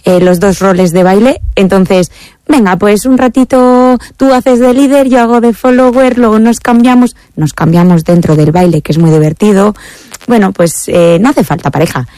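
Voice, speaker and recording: A woman's voice: medium pitch, moderate pace, warm tone, no echo. A woman with an accent from Spain, answering casually and conversationally as an interview guest. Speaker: interview guest, member of a swing dance association.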